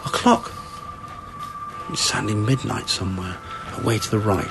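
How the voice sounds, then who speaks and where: An actor playing a terse, defensive and haunted wartime police inspector in an audio ghost story. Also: male voice, fairly low pitch, normal speed, lightly acted. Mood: haunted, trance-like. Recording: music under the voice.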